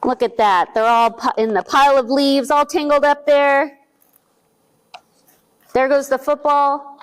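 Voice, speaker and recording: A woman's voice: sits high, slight background noise, low-quality recording. A woman reading a picture book aloud to young children at a library storytime, asking them questions as she goes. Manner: slow, animated, speaking to young children with questions.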